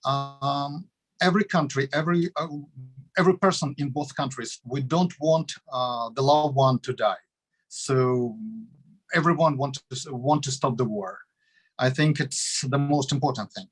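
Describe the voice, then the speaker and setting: A man's voice: mid-range voice, measured pace, husky, rough recording. A man from Ukraine, a native Russian speaker with a Ukrainian accent, giving spontaneous panel remarks, searching for words. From Odessa, Ukraine. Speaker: guest panelist.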